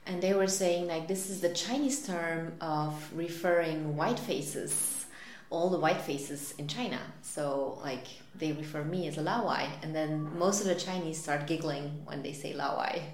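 Woman from Belgium, lowish voice, hesitant filler-heavy answers, echoey room with faint noise. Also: steady tone, a little slow. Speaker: interviewee. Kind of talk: casual interview answers.

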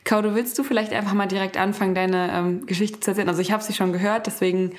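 A woman's voice: medium pitch, fairly even intonation, fast. Podcast co-host: woman, conversational and thoughtful, feeling her way through sentences.

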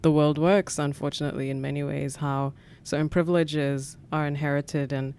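A woman's voice: deep voice, flat delivery, moderate pace, a little mellow, slight background noise. A South African woman in her twenties, a podcast guest answering questions in a thoughtful, reflective way with frequent fillers. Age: 20s. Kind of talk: conversational interview answers.

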